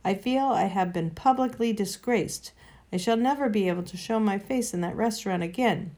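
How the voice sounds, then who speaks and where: A woman voicing a pompous, indignant older gentleman airing long-winded grievances. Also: mid-range voice, normal speed, close to an everyday voice.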